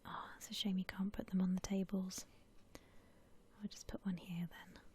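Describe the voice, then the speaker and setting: A woman whispering softly in a breathy, gentle, unhurried way, musing aloud as she gives ASMR commentary on a game. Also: mid-range voice, little pitch movement. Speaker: host of a gaming ASMR channel.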